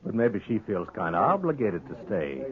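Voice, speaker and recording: An actor playing a pushy, smooth-talking saloon gambler, insistent and needling, in a 1950s radio western. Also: male voice, on the low side, very animated, normal speed, narrow, tinny audio. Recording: thin vintage radio recording, saloon crowd behind.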